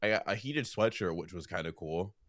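Young man, slightly hoarse, low voice. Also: fairly quick, no room sound. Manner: relaxed, rambling, laughs often. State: starting to lose his voice. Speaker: podcast co-host. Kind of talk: casual chat.

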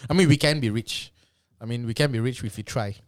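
A man's voice: mid-range voice, brisk, dry recording, clean studio sound. A middle-aged man from Singapore with a Singaporean English accent, co-hosting a casual chat and rambling with lots of restarts. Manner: rambling with many restarts, mock-exasperated. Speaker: podcast co-host.

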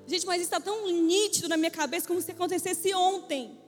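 A woman's voice: very high-pitched, quick pace, long reverb tail, soft background music. A woman preacher telling a humorous personal testimony to a church audience, acting out the voices she quotes. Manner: playful storytelling, acts out quoted voices.

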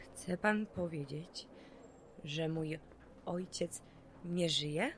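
A woman's voice: deep voice, drawn-out words, a little hiss or hum, heavily acted. An actress playing a bitter, grieving, homeless woman just out of prison, curt and guarded, in a naturalistic radio drama. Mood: stunned, uneasy. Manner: curt, guarded, swears when pressed.

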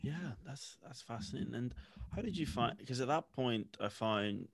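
Soft-spoken male podcast host, dry sound. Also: medium pitch, lively intonation, normal speed, gravelly, husky, dry recording. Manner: soft back-channel murmurs, rambling questions. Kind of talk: podcast interview.

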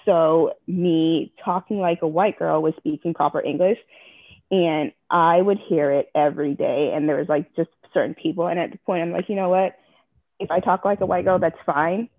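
A woman's voice: on the low side, fairly even intonation, normal speed, no echo. Frank woman on a tinny line. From Jamaica. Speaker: interview guest. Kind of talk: candid personal interview.

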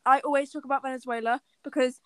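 A teenage girl chatting excitedly with friends on a podcast, repeating jokes. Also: high voice, fast talker, a little hiss or hum. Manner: excitable, repeating bits for laughs. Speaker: podcast co-host. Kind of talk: casual chat among friends.

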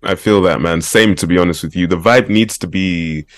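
Man, podcast host, very low pitch, laid-back slangy talk, faint music behind. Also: moderate pace, no echo, studio quality. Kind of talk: casual podcast interview.

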